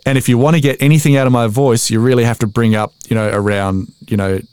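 A man's voice: medium pitch, fairly even intonation, fast talker, a little mellow, clean tone, no room sound. An Australian man in his forties chatting casually as a podcast guest, self-deprecating, telling stories and acting out quotes as he goes. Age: 40s. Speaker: voice-over artist being interviewed.

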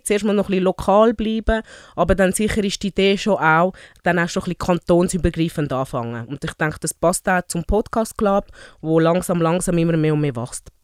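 A woman with a Swiss German accent, chatting as a podcast guest and often pausing on fillers. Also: lowish voice, no room sound, clean studio sound. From Switzerland, born in Zurich. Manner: chatty, frequent hesitation fillers. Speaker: podcast guest, new board member. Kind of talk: casual interview chat.

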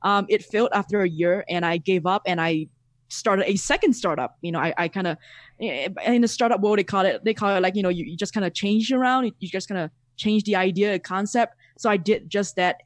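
A man in his thirties from Singapore with a Singaporean accent, chatting casually as a podcast guest over a video call, animated and quick to laugh. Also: high voice, no room sound. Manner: animated, laughs often, repeats words. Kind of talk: casual interview chat.

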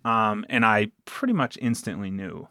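A man chatting casually as an interview guest, telling stories in an animated way with quoted asides. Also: lowish voice, normal speed, no echo, clean studio sound. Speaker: podcast producer and game master, interview guest. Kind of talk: casual conversational storytelling.

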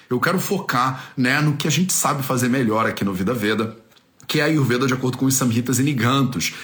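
A man's voice: mid-range voice, fairly bright tone. Chatty man teaching on a live stream. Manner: chatty, leans on tag questions and repeats phrases.

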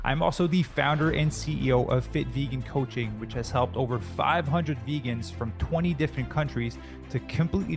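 A young adult man hosting a podcast, talking in an upbeat, quick way with frequent restarts. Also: medium pitch. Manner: upbeat, fast, many restarts. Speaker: podcast host. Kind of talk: podcast intro and interview.

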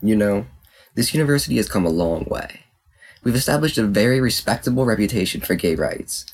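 Man as young male fox, husky, lowish voice, sly, pointed, conversational audiobook dialogue. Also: expressive, a little fast. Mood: suspicious, terse. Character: sly, sharp-tongued young male fox, college club member. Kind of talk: acted audiobook character dialogue.

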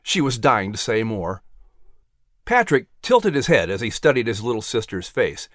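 A man narrating a children's story as announcer, with expressive, scripted storytelling delivery. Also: on the low side, moderate pace, no room sound, clean studio sound.

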